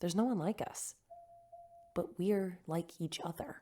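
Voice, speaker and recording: A woman's voice: fairly low pitch, unhurried, dark tone, soft background music, lightly acted. An actress playing a computerized mother who speaks earnestly and from the heart. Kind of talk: sci-fi radio drama.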